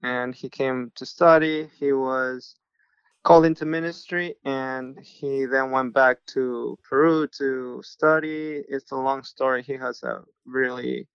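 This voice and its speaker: A man from Mexico tells his story as a podcast guest, his voice gravelly yet smooth, held to a fairly level pitch. He speaks in an earnest, steady way. The sound is dry and a little dull. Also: measured pace, dry recording. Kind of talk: casual interview storytelling.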